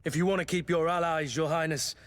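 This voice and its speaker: An actor playing a loyal but cynical mercenary soldier in a fantasy game, speaking bluntly and with command. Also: male voice, on the high side, steady tone, brisk, a bit bright, lightly acted. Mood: earnest, urgent. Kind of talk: dramatic fantasy game acting.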